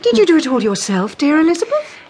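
A playful, gushing society wife in a costume radio play. Her voice is very high and swoops theatrically, with faint noise behind it. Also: sing-song, quick pace, a little mellow. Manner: effusive, theatrical. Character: gushing, flirtatious married lady guest, playful wit. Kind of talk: full-cast radio period drama.

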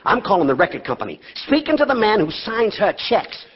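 Blustering high-pitched casino boss. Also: male voice, big pitch swings, brisk, husky, exaggerated voice. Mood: exasperated, scornful. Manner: brusque, barking, profane. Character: blustering, crude, bigoted male casino boss. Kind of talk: theatrical audio drama acting. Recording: dull, reverberant stage recording.

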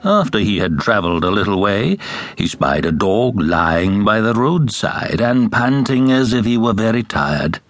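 A man narrating fairy tales as a scripted audiobook, in an expressive storytelling manner. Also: lowish voice, measured pace, no echo.

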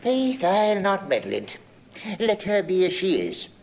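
An actor playing a tetchy, meddling uncle in classical Shakespearean comedy, fussy and peevish in delivery. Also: male voice, sits high, huge pitch swings, unhurried, exaggerated voice. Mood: defensive. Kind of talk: classical Shakespearean stage acting.

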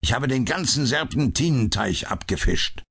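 A man's voice: mid-range voice, expressive, moderate pace, gravelly, a bit bright, breathy edge, pitched above the performer's own voice, heavily acted. A man reading an audiobook, voicing an indignant, bitter police inspector who barks his words. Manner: blustering and bitter. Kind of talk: audiobook character voice.

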